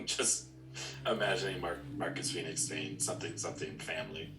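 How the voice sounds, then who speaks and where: A man's voice: deep voice, normal speed, noisy background. A man co-hosting a podcast, chatting casually, recorded with a roomy echo. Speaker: podcast co-host. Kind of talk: casual chat about movies.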